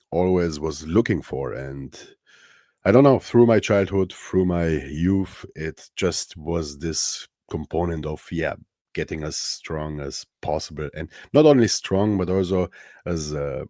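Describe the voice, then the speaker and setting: A very deep-voiced man in his thirties with a Romanian accent talks casually as a podcast guest. He speaks in a halting, thoughtful way, pausing on fillers and restarting his phrases. The sound is dry and close. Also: darkish tone, no echo. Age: 30s. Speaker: podcast guest, strongman athlete. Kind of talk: casual interview chat.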